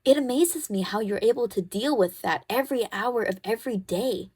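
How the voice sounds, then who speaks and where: A woman playing a caring, protective teenage girl who comforts her best friend in an ASMR roleplay, soothing and gently bossy as she talks. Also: on the high side, moderate pace, breathy edge. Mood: encouraging, reassuring. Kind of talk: ASMR comfort roleplay, one-sided conversation.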